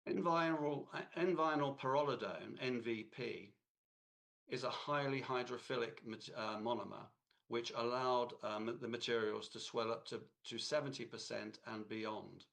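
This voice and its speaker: A man with a cold, hoarse, giving a technical lecture in a halting way with many fillers and restarts. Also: mid-range voice, a bit bright.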